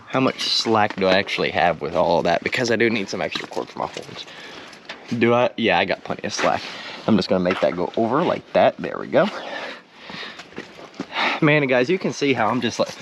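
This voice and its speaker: A man's voice: lowish voice, animated, normal speed. A teenage man chatting casually and goofily to viewers as he works on a truck, joking at his own expense. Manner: goofy, self-joking, mimics sounds. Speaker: vlogger restoring an old truck. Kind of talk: casual vlog chat while working. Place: in and around a truck. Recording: clearly noisy background with clicks.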